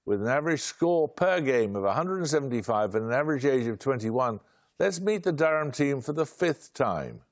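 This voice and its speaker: Brisk, dry British quiz host. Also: male voice, medium pitch, expressive. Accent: British English. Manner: brisk, dry and wry, clipped delivery. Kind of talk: studio quiz hosting, reading questions. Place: television studio.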